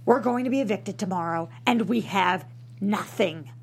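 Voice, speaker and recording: A woman's voice: medium pitch, normal speed, gravelly, slightly rough. A woman playing a brash, foul-mouthed suburban wife at breaking point in a comedy table read. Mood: bitter, furious.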